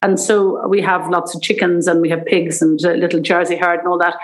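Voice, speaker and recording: A woman's voice: lowish voice, little pitch movement, a little fast. An Irish woman in her seventies, a cooking school founder telling rambling stories in an interview and acting out the voices she quotes. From Ireland. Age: 70s. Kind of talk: interview, anecdotal storytelling.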